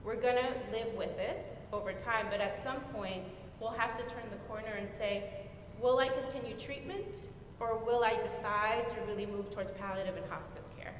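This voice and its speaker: A woman explaining her work as a nurse on a discussion panel. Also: normal speed. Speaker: panelist, former public health nurse. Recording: very echoey hall, rumbling background noise.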